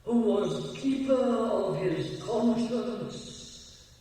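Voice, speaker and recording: An actor playing a solemn all-knowing brazen head in a radio play, intoning slowly and portentously. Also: male voice, sits high, expressive, mellow, soft background music, exaggerated voice. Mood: ominous, accusing. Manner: slow, intoning, portentous delivery. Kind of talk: 1980 radio drama acting. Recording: cavernous echo, distant, cassette radio transfer.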